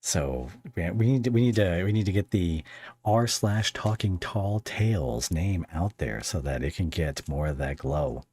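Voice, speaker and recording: A man hosting a casual interview, talking in a rambling, halting and jokey way. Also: low voice, animated, a little mellow, husky. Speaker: podcast host interviewing a guest.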